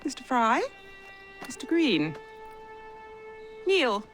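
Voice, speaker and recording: A regal English queen in a game cutscene speaks high and with lively melody. She is formal and gracious, with a commanding stateliness. Soft strings sit behind her. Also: drawn-out words, soft background music, heavily acted. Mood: stately. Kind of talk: cinematic video game acting.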